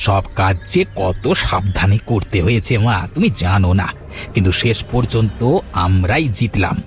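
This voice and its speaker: A man's voice: fairly low pitch, normal speed, soft background music, close to an everyday voice. A man voicing a scheming, greedy grown son in a cartoon, boastful and fawning toward his mother. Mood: gloating, smug. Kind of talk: dramatized Bengali moral-story cartoon dub.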